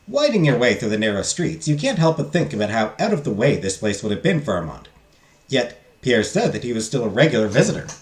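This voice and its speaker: A man streaming a visual novel, loudly reading the game text aloud and chatting with his viewers. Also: medium pitch. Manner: loud, talks loudly. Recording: roomy echo, faint game music.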